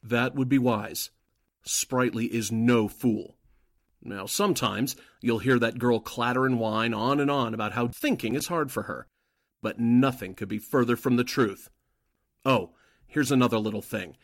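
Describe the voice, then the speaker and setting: A man's voice: medium pitch, a little slow, no room sound, clean studio sound. A man playing a suspicious, cautious Nazi henchman in a 1940s-style radio drama. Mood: wary.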